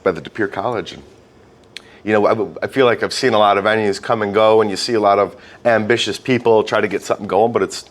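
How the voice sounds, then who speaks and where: A man from Wisconsin hosting a casual interview, chatty and halting, often repeating words as he starts over. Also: fairly low pitch. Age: adult, calls himself old metalhead. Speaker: podcast host.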